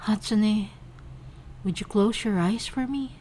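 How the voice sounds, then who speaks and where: A woman voicing a composed, tender and teasing young woman in love, measured and gently coaxing, in a fan fiction reading. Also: medium pitch, slight background noise, voice pushed up, natural voice.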